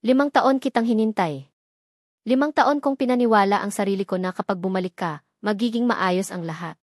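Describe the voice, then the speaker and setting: A woman's voice: medium pitch, fairly quick, dry recording, studio quality, lightly acted. Wounded young husband, bitter and raw, read by a woman storyteller. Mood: hurt. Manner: bitter, then breaking and hurt.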